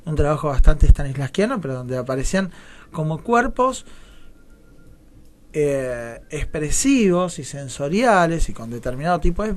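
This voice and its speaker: Argentine guy, kinda higher voice, theatre director rambling thoughtfully in a radio interview, lots of little tag questions. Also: gravelly. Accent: Argentine, Rioplatense. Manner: digressive, often seeks agreement with tag questions. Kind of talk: reflective radio interview talk.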